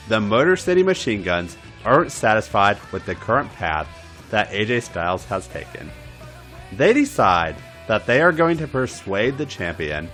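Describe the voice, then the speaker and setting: A man's voice: lowish voice, fairly bright tone. A man hosting a podcast and reading scripted erotic fan fiction as narrator. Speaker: podcast host and story reader.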